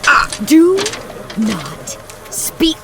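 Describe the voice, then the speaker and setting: A woman acting as a ruthless space commander in an audio drama. She takes her time, cold and disdainful, in a fairly high, lively voice with music clearly underneath. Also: drawn-out words, heavily acted. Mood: cold menace. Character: ruthless, contemptuous female sci-fi fleet commander.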